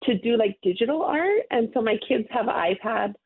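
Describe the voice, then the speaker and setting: Woman, 30s, from Hawaii, friendly, rambling guest, gravelly edge, phone-line sound. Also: on the high side, animated, a little fast. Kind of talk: casual interview.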